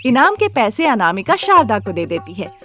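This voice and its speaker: A woman's voice: fairly high pitch, very animated, normal speed, pushed and bright. A woman narrating a scripted story as a storyteller. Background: background music.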